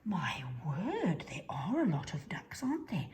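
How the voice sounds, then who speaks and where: A woman's voice: on the low side, wildly swooping pitch, measured pace, a little mellow. A woman voicing an eager, bold little boy bear who leads the other cubs in a children's story. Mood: amazed.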